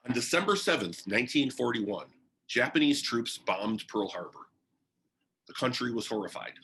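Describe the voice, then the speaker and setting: A man narrates a biography as if telling a story, reading from a text. His voice is bright and forward, with pitch that moves around in a lively way and an expressive delivery. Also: normal speed, rough recording. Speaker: reader of a biography. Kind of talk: read-aloud biography narration.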